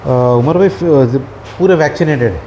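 Man, goat farmer, polite and plain, raised pitch, faint ambience, indoor pen. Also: lively intonation, moderate pace, a little mellow, a little hiss or hum. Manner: polite, addresses the host as brother often. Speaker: goat farm owner. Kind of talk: answering questions in casual chat.

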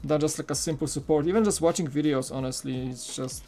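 A man's voice: fairly high pitch, fast talker, warm tone, soft background music. A man in his thirties from Poland with a Polish accent, chatting casually on a live stream while building, with lots of fillers and restarts. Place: home studio room.

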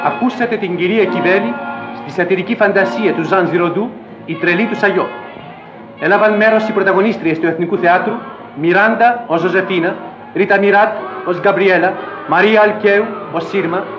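A man announcing formally as a scripted old-time radio presenter. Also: high voice. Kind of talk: formal scripted cast credits. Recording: echoey dull vintage radio, music behind.

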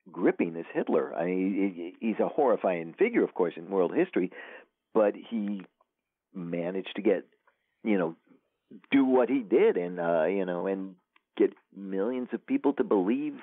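A man's voice: low voice, no echo. A man being interviewed as an author, answering thoughtfully with many hesitations. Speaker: author guest. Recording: tinny phone line.

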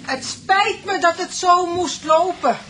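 Ominous, guarded farm woman, high bright voice, radio play with faint noise. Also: slow, exaggerated voice. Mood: grave. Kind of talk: Dutch radio drama acting.

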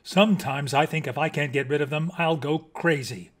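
Storybook mockingbird, played by a male reader: vain, touchy, theatrical, pitched slightly high. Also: normal speed, lightly acted. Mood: exasperated. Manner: dramatic, pompous, swooping emphasis.

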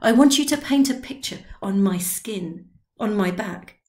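A woman voicing an excitable, drunken old man who talks eagerly and loudly in a read-aloud story. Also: measured pace, natural voice. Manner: eager, pleading, high and loud.

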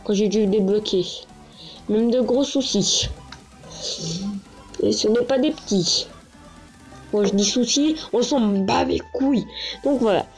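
A woman's voice: on the high side, measured pace, pauses often, darkish tone. A woman with a cold giving casual, rambling gameplay commentary from an echoing bedroom, full of fillers and restarts. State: stuffy-nosed with a cold. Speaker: gaming channel host. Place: small echoing bedroom, lying down. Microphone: handheld console camera. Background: game music.

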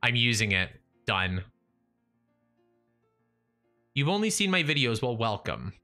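A man's voice: mid-range voice, big pitch swings, moderate pace, pushed and bright, faint music behind. A young man livestreaming a building game, talking to himself and chat, with a voice he says is going. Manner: chatty, self-talking, restarts and trails off. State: losing his voice. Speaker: livestreaming gamer. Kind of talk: casual live-stream chat while building. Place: small room at home. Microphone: studio mic in front of face.

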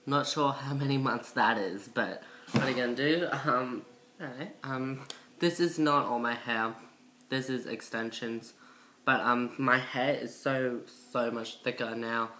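Casting: young female vlogger, masculine-register voice with bright edge, slight cold, casual rambling delivery, faintly noisy home recording. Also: a little slow. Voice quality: low, masculine-sounding voice. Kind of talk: casual chat to camera, transition update. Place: indoor room by a window. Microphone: built-in device mic at arm's length.

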